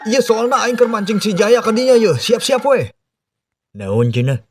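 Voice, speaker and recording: A man with a Sundanese accent performing comic parody cartoon dialogue in a coarse, colloquial, animated way. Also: high-pitched, normal speed, soft background music. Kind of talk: comic character dialogue dubbing.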